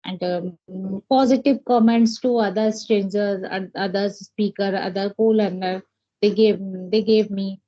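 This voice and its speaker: A woman from India, speaking English, pauses often and restarts her sentences. Her tone is dark and mellow. The audio sounds muffled, like an online call. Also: medium pitch, steady tone. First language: Hindi. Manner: hesitant, many fillers and restarts. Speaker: English learner, homemaker. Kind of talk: casual conversation practice.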